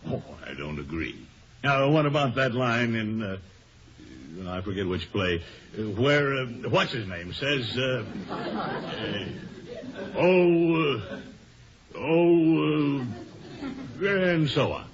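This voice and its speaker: An actor playing a blustering, forgetful middle-aged businessman in a 1950s radio comedy, flustered and hesitant in an expressive, theatrical way. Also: medium pitch, takes it slowly, pauses often, crowd noise. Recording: dull vintage radio recording.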